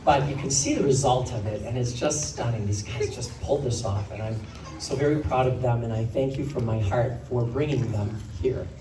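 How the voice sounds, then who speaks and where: A man directing a school play gives a rambling thank-you speech over a microphone in a school auditorium, calling names out loudly. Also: medium pitch, normal speed, over music. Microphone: handheld microphone through PA, recorded from the audience. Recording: echoey hall from far off with crowd.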